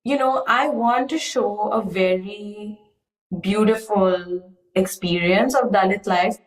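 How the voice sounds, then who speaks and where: A woman artist being interviewed, speaking in a thoughtful, measured way and often hedging. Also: medium pitch, echoey room, poor audio. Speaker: artist guest. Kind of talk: reflective interview conversation.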